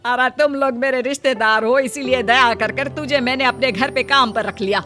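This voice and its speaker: A woman's voice: high-pitched, fairly even intonation, normal speed, music in the background, close to an everyday voice. A woman playing a contemptuous, berating sister-in-law in a Hindi cartoon story. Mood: angry, scolding. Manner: sharp, berating.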